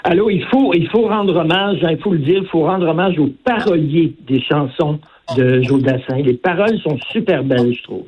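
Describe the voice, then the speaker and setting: A man's voice: fairly high pitch, normal speed. A man calling in to a radio show, enthusiastic, heard over the phone. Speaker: radio caller. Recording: dull phone line.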